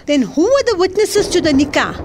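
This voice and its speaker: A scolding, exasperated mother played by a woman in a radio drama. Her voice is high and swings widely in pitch as she lectures. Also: very animated, brisk, darkish tone, a little hiss or hum. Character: stern scolding middle-aged mother. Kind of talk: audio drama acting.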